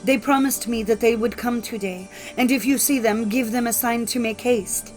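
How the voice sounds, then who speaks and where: A woman's voice: on the high side, steady tone, moderate pace, darkish tone, faint music behind, voice pushed up, close to an everyday voice. A woman voicing a desperate young wife in a fairy tale, imploring and dramatic. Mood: urgent. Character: desperate, pleading young wife in mortal fear.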